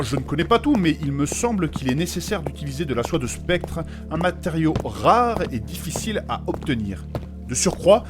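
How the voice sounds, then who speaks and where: A man's voice: fairly high pitch, lightly acted. A man playing a courteous, learned mage in a fantasy audio drama, speaking in formal, precise, long-winded sentences. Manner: formal, precise, pedantic phrasing with polite address.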